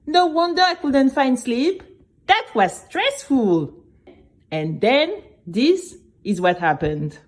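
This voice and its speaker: A woman playing a nervous, chatty young girl who tells her story in a conversational, expressive way. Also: high-pitched, takes it slowly, heavily acted. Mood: anxious, animated. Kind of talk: first-person scripted audio drama narration.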